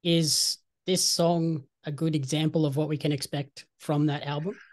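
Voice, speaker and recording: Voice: mid-range voice, steady tone, normal speed. An interviewer asking questions in a friendly, encouraging way.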